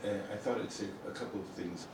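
A man whose first language is Spanish, an author talking thoughtfully about his book in a halting, self-correcting way. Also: fairly low pitch, steady tone. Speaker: author speaking at a book event. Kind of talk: reflective informal talk. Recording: echoey room from a distant mic, noisy.

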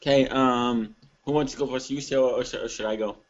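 A man in his thirties co-hosting an interview, speaking in a hesitant, halting way. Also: mid-range voice, steady tone, highs cut off. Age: 30s. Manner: hesitant, halting, lots of ums. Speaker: co-host. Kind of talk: casual interview talk.

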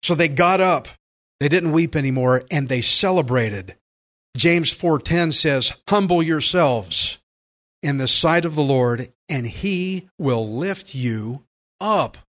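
A man preaching a sermon, earnest and emphatic, slowing down to stress key phrases. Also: fairly high pitch, expressive, a bit bright. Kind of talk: sermon with scripture readings.

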